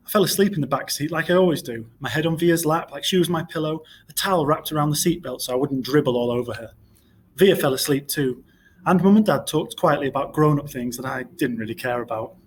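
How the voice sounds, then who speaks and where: A man reading a storybook aloud in expressive narration, like a teacher to a class. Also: a little high, fairly quick, slight background noise.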